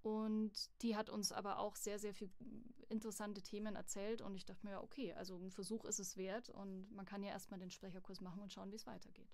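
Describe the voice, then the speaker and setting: A woman's voice: medium pitch, a little fast, hardly any pauses, no echo. Chatty woman in a dry studio. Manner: chatty, candid, lively storytelling. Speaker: voice acting student, guest. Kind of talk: casual podcast interview. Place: studio at a music academy.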